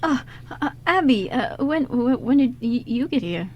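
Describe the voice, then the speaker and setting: A woman's voice: high-pitched, animated, moderate pace, a little mellow, lo-fi sound. A woman voicing a nervous teenage boy with a crush in an amateur radio play, stammering and flustered. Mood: awkward, shy. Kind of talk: amateur radio script reading.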